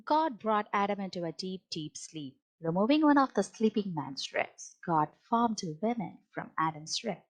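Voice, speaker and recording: A woman's voice: medium pitch, expressive, moderate pace, few pauses, poor audio. A woman reading a children's Bible story aloud as a storybook narrator. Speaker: storybook reader.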